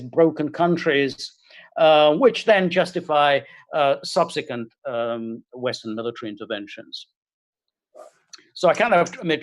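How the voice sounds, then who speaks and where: A middle-aged man from Hungary, talking as a guest on a video call and speaking haltingly with many fillers and restarts. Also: fairly high pitch, no room sound. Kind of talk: casual interview talk.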